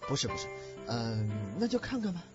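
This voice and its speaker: A man acts an evasive, stingy landlord in an audio drama, whining and flustered. His pitch swings wildly. The audio is a bit dull, with music underneath. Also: sing-song, fairly quick, natural voice. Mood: nervous, evasive. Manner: flustered, hedging, wheedling. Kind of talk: Chinese audio drama acting. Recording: muffled recording with music behind.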